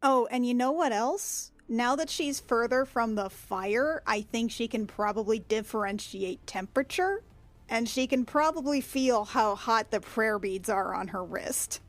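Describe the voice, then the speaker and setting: A woman's voice: on the high side, animated, unhurried. A woman speaking informally and playfully as a player in a tabletop roleplaying game, describing what her character does. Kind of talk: casual in-game chat, describing character's actions.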